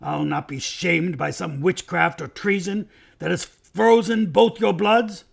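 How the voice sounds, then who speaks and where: A man voicing a proud, hot-tempered king in a storybook reading, haughty, loud and indignant. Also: high-pitched, fairly even intonation, a little slow, gravelly, breathy edge, voice pushed up, heavily acted. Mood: angry, defiant.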